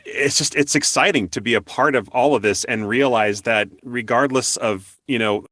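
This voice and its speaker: A man's voice: mid-range voice, normal speed, a bit bright, no room sound, clean studio sound. A man hosting an interview in a conversational way, enthusiastic and appreciative toward the guest. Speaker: interview host.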